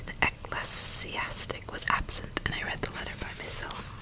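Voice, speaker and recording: A woman reading a gothic novel aloud as ASMR in a soft, breathy, unvoiced whisper, slow and deliberate, close to the microphone. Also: rough recording.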